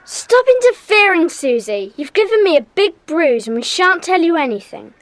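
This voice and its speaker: An actress playing a sensible, firm child in a secret club in a children's audio drama, matter-of-fact in manner. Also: female voice, extremely high pitch, big pitch swings, moderate pace.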